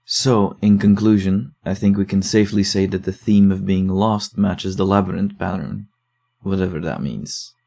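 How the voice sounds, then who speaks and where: Male actor as sombre investigator narrating horror case files: deep, flat delivery, measured and deadpan. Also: vocal fry. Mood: wry, unsettled. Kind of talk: scripted horror audio drama narration.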